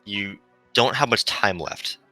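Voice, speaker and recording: A man voicing a gentle, melancholy female shuttle AI, earnestly explaining as it fades in a visual novel. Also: lowish voice, slow, soft background music, full character voice. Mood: grave warning.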